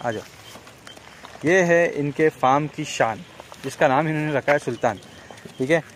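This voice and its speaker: Guy vlogging at a goat farm at night, bit high voice, lots of hmm haan, faint hiss behind. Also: moderate pace. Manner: chatty, prompting with frequent hmm and haan. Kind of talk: casual on-camera interview tour.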